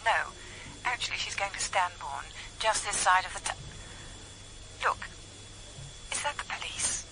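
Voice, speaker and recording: Polite guarded sister, formal, telephone filter, mid pitch, noisy vintage radio drama. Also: female voice, a little slow. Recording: noisy phone line, vintage radio tape.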